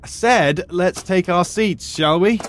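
A man's voice: sits high, slow. A man playing a dry British archaeologist. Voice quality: dry, wry and formal. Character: British retired archaeologist turned high school teacher, dry and wry. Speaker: history teacher. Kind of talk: audio drama acting.

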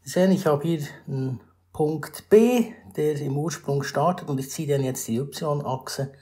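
A male math teacher with a Swiss German accent explains a math problem step by step over a screen recording, with many pauses and restarts. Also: a little high, dark tone. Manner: formal address to viewers, rising emphasis on new steps.

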